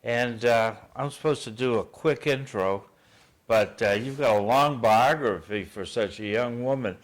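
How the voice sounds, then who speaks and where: A man hosting a casual interview, halting with many fillers and dry asides. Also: medium pitch. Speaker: host. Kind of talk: casual radio-style interview.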